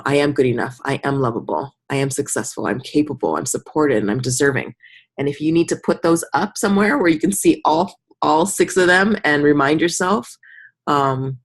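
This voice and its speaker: A woman with a low, husky voice leads an informal group coaching call. She speaks conversationally as a mentor, offering encouragement and slipping into short imagined examples of what people might say. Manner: conversational, encouraging, often acting out example remarks in the listener's voice.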